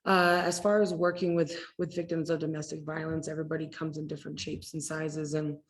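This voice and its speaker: A woman speaking as an advocate on a community panel, relaxed and conversational with frequent fillers. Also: low-pitched, brisk. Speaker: domestic violence advocate. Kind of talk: panel discussion.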